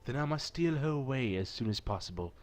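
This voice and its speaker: A man voicing a rich, generous gentleman in a visual novel, with a formal and self-assured manner. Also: mid-range voice, animated, brisk, soft background music, close to an everyday voice. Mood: courteous, eager. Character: rich, suave young gentleman patron, generous and teasing.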